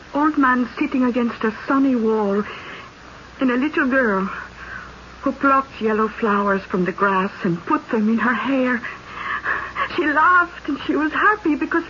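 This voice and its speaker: High-voiced, haunted Spanish-accented woman. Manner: halting, simple foreign-tinged phrasing. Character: frightened, abused immigrant woman, gentle, haunted, naive. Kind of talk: old-time radio drama acting. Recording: muffled, noisy vintage radio recording.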